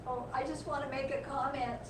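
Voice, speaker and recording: A woman in the audience making an effusive, halting comment to the author. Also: high voice, little pitch movement. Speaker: audience member. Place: bookstore event audience. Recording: distant, echoey audience mic with room noise.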